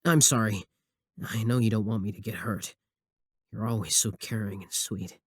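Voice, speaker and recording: A man's voice: lowish voice, steady tone, moderate pace, husky, dry recording, clean studio sound. A young man acting a possessive, obsessive student admirer in a scripted ASMR roleplay, sweet yet menacing. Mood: tender, concerned.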